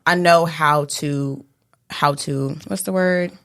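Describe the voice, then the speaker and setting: A young American woman with a deep alto voice, speaking in a composed, slightly monotone way as a casual interview guest. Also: slow, dry recording, studio quality. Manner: composed, serious, somewhat monotone. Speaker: guest, rapper and singer.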